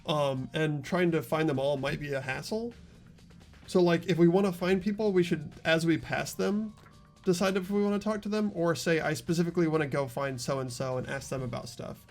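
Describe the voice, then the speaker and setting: A man's voice: a little high, normal speed, a little mellow. A man hosting a video game playthrough, chatting casually and reading narration aloud, with game music behind him. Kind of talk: casual gameplay chat with read-aloud narration.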